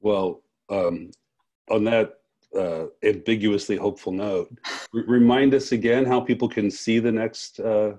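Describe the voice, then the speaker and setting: A man interviewing a guest conversationally, with halting, wry and wordy phrasing. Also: fairly low pitch, steady tone, dark tone. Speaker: interviewer, festival co-director.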